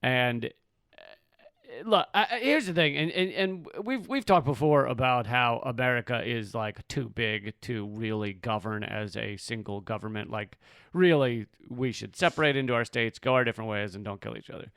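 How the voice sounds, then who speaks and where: Joking man hosting a podcast, smooth voice. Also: mid-range voice, normal speed, fairly bright tone, no echo, studio quality. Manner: joking, swears freely, breaks into laughs. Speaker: podcast host. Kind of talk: casual political chat.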